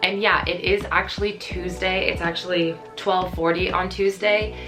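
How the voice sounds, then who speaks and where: A young woman with a deep, raspy voice, a bit hoarse from a cold, chatting casually in a home vlog. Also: steady tone, moderate pace, over music. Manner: chatty, rambling. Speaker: vlogger.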